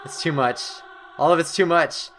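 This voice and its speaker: A young man hosting a horror game playthrough, reading the story text aloud in an excitable, dramatic way and stumbling now and then. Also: on the high side, normal speed, soft background music. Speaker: gaming video host.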